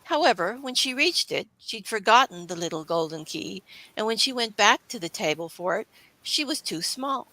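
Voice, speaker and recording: A woman's voice: medium pitch, expressive, normal speed, sharp tone, dry recording, poor audio. A woman reading scripted children's story narration as the storybook's narrator.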